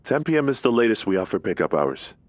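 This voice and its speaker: Low-voiced, clinical hospital staffer. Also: male voice, sing-song, quick pace, dry recording. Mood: clinical, businesslike. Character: matter-of-fact male hospital staffer on the phone. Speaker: actor in a full-cast audio drama. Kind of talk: audio drama acting.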